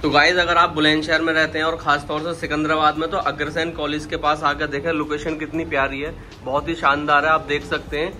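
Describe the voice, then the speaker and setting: A man's voice: a little high, flat delivery, a little fast, hardly any pauses, bright, forceful tone, slight background noise. A man in his thirties from India, chatting to viewers in a casual travel vlog, filmed on a phone at arm's length in a car, cafe and mall. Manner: chatty, addresses viewers directly. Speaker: vlogger.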